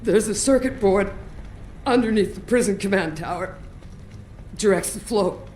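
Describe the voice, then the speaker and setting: A woman's voice: medium pitch, normal speed, a little mellow, slight background noise, close to an everyday voice. A woman playing a tough, wry operative in a video game, badly wounded and speaking with labored, pained determination. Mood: pained urgency. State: weak, labored, in pain. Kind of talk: video game dramatic acting. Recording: echoey space with faint music.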